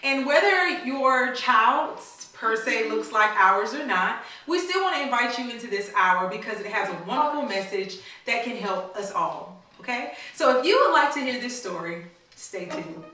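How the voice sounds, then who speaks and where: A woman reading a storybook aloud to children in a teacherly way, pausing to prompt them with questions. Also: on the high side, lively intonation, sharp tone. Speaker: mother reading a storybook to her daughters. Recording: very echoey room, slightly distant mic.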